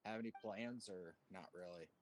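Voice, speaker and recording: A man's voice: deep voice, normal speed, a little hiss or hum. A man chatting casually and informally during a game stream, somewhat hesitant. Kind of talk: casual chat.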